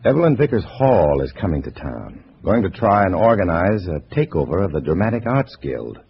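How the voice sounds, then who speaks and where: Deep-voiced, hard-boiled undercover agent. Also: male voice, big pitch swings, fairly quick, creaky, full character voice. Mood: businesslike. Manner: terse, dry, wry. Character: hard-boiled undercover male agent posing as a communist comrade. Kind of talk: 1950s radio drama acting and first-person narration. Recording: muffled vintage radio recording, faint hiss.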